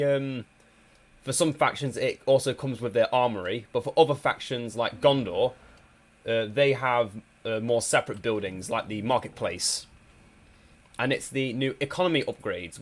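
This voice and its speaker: Guy with a bit of a cold chatting over a strategy game, lots of ums, middle pitch. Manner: hesitant, many ums and restarts. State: slightly congested from a cold. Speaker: gameplay commentator. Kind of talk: casual strategy game commentary.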